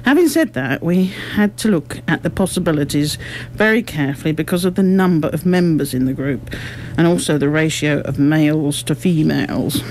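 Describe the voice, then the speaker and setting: A woman's voice: sits low, normal speed, darkish tone. A woman giving articulate, explanatory, prepared-sounding answers in a radio interview. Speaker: amateur drama group member being interviewed. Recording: noticeable background noise.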